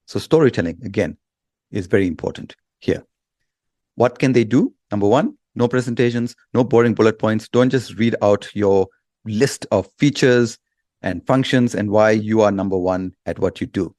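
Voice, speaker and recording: A man's voice: fairly low pitch, moderate pace, a little mellow, dry recording, studio quality. A man speaking as an expert guest in an interview, explaining with examples in a blunt, emphatic way.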